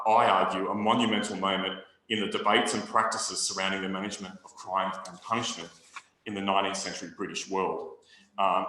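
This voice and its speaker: A man presents a history lecture to a seated audience, his delivery measured and academic, often restarting a phrase. His voice sits a little low but has a bright, forceful edge. The room rings with heavy echo. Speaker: historian giving a talk. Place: hall with audience. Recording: very echoey room recording.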